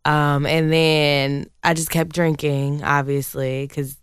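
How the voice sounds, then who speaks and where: Podcast co-host, woman, raspy but smooth tone, dry close sound, casual laughing chat. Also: deep voice, slow pace, no echo. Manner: laughing, animated storytelling.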